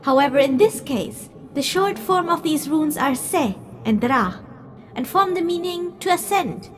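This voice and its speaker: A woman's voice: sits high, lively intonation, unhurried, a little hiss or hum. A woman playing a teasing spirit fortune-teller who talks down to mortals in a playful, theatrical way for an audio drama. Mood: mystical, playful. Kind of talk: audio drama acting, direct address to listeners.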